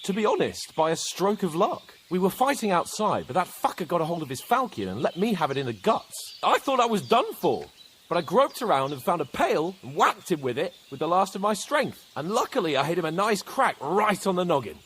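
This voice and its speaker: Cocky young noble knight, game cutscene; swaggering, jesting, bright-pitched and lively. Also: male voice, slight background noise. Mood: brisk, lordly. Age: young adult. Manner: jesting, lordly and quick-witted. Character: cocky young male knight, joking and boastful. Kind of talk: video game cutscene acting.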